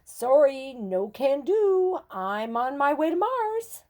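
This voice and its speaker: A woman's voice: sits high, very animated, slow pace, a little mellow, pitched above the performer's own voice, full character voice. A woman voicing an eager, self-important little boy playing astronaut in a storybook, with grand, theatrical politeness. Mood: politely refusing.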